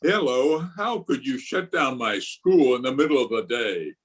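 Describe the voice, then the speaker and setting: Middle-aged blustering school principal for radio comedy male actor: pompous, exasperated, very animated intonation. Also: medium pitch, normal speed, slightly rough, poor audio. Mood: blustering, outraged. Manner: pompous, indignant, theatrical. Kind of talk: old-time radio comedy acting. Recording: remote video-call recording.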